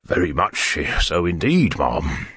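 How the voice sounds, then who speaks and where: A man's voice: deep voice, very animated, fairly bright tone, breathy edge, dry recording, clean studio sound, voice pushed down, exaggerated voice. A man voicing a pompous, unctuous parish official with a tinge, in a storybook. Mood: amorous, unctuous. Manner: pompous, slow, soothing. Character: pompous, unctuous parish beadle courting a matron. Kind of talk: dramatised audiobook character voice.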